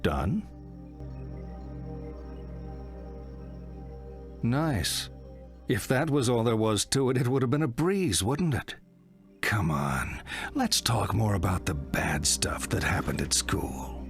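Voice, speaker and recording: An actor playing a blunt, probing psychotherapist in a video game, talking in a deliberate, wry way. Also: male voice, on the low side, sing-song, creaky, over music, full character voice. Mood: instructing. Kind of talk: video game cutscene acting.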